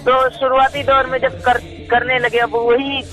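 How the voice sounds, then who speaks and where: Man, bright forceful voice, insistent, repetitive, deferential, phone call, faint music behind. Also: very high voice, flat delivery, normal speed. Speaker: activist caller. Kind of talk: casual phone discussion.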